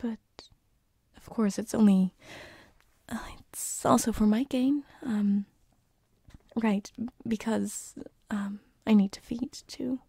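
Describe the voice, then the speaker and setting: Woman as a slow, whispering, teasing demoness. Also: on the high side, steady tone, dark tone, no room sound, studio quality, full character voice. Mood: sympathetic, soothing. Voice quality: breathy whisper. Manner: slow, soothing, hesitant, teasing. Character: gentle, teasing female sleep paralysis demon who feeds on dreams. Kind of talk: whispered ASMR roleplay. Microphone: close binaural microphone.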